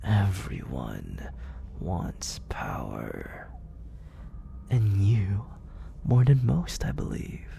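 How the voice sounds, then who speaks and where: A man playing a slow, gravelly, soft-spoken villain. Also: low-pitched, wildly swooping pitch, a little hiss or hum, heavily acted. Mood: smug, coaxing. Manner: slow, purring delivery that often drops into whispers; condescending and coaxing. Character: smooth, manipulative male villain, soft-spoken and controlling. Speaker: voice actor. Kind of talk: ASMR roleplay. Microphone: close studio condenser mic.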